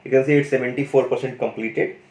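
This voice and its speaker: A man's voice: medium pitch, fairly even intonation, fast talker, darkish tone. Man explaining tech in a roomy echo. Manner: enthusiastic, loose, full of "you know" and "guys". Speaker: tech YouTuber demonstrating an AI audio tool. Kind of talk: casual screen-share tutorial.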